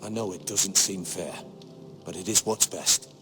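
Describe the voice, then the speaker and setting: An actor playing a prudent older castle steward, loyal and grave, speaking in a measured, earnest, formal way in a fantasy game drama. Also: male voice, low voice, music in the background. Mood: solemn, consoling.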